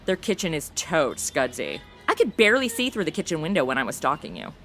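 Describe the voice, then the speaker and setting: A woman's voice: deep voice, huge pitch swings, fairly quick, a bit bright, soft background music, heavily acted. An actress playing a brash, flippant young woman in a comedy audio drama, full of modern slang and sass. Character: brash, sassy modern young woman stuck in radio shows.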